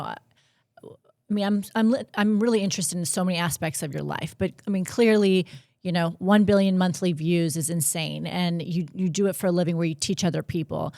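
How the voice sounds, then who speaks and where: A woman's voice: lowish voice, a little fast, vocal fry, darkish tone, no echo, studio quality. A woman podcast host who asks long, hesitant questions full of fillers. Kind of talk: casual interview chat.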